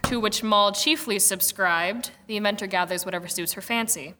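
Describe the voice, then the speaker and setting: A woman's voice: mid-range voice, normal speed, background noise, lightly acted. A woman narrating a radio drama in a wry, theatrical storybook manner. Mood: wry, storytelling. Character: wry storyteller narrating a girl inventor's tale.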